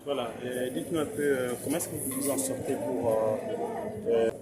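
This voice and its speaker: A man's voice: medium pitch, moderate pace. A man from Congo interviewing a guest, asking questions hesitantly, with fillers. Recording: loud background noise.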